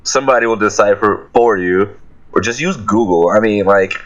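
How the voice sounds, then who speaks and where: A man's voice: fairly low pitch, moderate pace, lo-fi sound. A man talking informally and jokingly as a guest in a casual group Q&A. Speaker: guest musician on panel.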